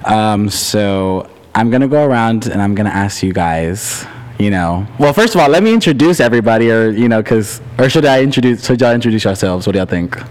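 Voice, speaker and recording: A man's voice: lowish voice, lively intonation, fairly quick, darkish tone. Playful man hosting a group chat. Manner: playful, teasing, hesitant restarts. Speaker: podcast host.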